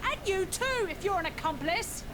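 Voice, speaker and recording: A woman's voice: extremely high pitch, expressive, moderate pace, crowd noise, background noise. A woman playing a blunt, earthy commoner in a video game, an indignant member of a mob. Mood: angry. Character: angry, coarse commoner woman in a vengeful mob.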